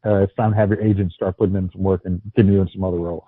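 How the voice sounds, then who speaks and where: A man's voice: deep voice, level tone, very fast, breathy edge, dry recording. Man on a tinny phone line, stammering. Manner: stammering restarts, wry jokes. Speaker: podcast co-host. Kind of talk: casual movie chat.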